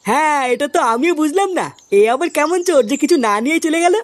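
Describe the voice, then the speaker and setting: Casting: female actor as inquisitive, earnest male villager for comic cartoon, brisk and animated delivery. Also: high voice, no room sound. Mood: curious.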